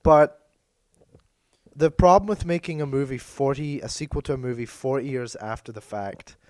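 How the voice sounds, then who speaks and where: Hoarse man rambling on a podcast. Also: medium pitch, normal speed, darkish tone, dry recording. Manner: meandering, hesitant, self-correcting. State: hoarse, losing his voice from illness. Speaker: podcast co-host. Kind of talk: casual chat.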